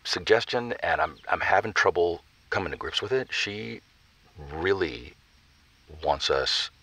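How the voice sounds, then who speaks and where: Reluctant married caller: very low, punchy voice, earnest and baffled, halting delivery for an audio drama phone-in. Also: male voice, lively intonation, bright, forceful tone, dry recording, heavily acted. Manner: halting, emphatic stammering.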